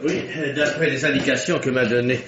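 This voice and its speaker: An actor playing a curious, genial amateur detective who muses aloud in a mid-century French radio mystery. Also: male voice, mid-range voice, steady tone, fairly quick, natural voice. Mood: musing, amused. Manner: musing, chatty, punctuated with hums and repeated words. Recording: dull, noisy old radio recording.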